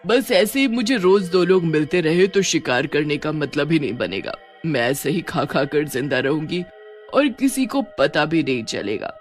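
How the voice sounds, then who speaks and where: A woman's voice: low-pitched, expressive, normal speed, faint music behind, pitched below the performer's own voice. A woman voicing a gloating female witch from a horror story, savouring her secret hunting of people. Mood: gleeful, gloating. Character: gloating female witch who eats children.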